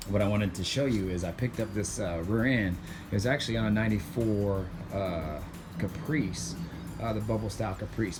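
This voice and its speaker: A man chatting casually to viewers in a car vlog, rambling with many fillers, his voice a little raspy from losing it. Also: on the low side, a little mellow, slight background noise. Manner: laid-back, rambling, many ums, says y'all. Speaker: car vlogger.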